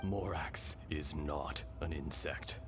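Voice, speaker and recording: An actor playing the calm, sorrowful, benevolent spirit of an ancient dragon in a fantasy game, with grave, measured delivery. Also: male voice, a bass voice, over music. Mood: gentle, solemn. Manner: grave, measured, breathy at phrase ends. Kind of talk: scripted fantasy game voice acting.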